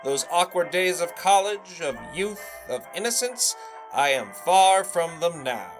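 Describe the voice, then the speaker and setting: A man's voice: sits high, lively intonation, a little slow, faint music behind, poor audio. A man playing a pompous young radio salesman. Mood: boastful. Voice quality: pompous, showy old-fashioned patter. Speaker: radio announcer character. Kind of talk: 1920s-style audio drama.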